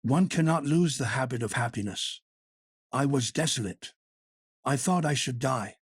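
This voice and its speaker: A desperate young woman begs and coaxes in a raised, slightly husky voice, as a male reader puts her on. Her lines plead with emotion in a dry, close audiobook sound. She is an audiobook heroine on the edge of ruin. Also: mid-range voice, normal speed, dry recording, lightly acted. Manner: emotional, imploring. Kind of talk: audiobook character reading.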